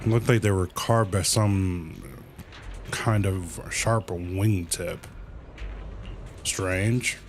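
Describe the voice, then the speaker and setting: A man livestreaming a game with casual commentary, thinking aloud in a halting way and repeating phrases. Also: deep voice, slight background noise.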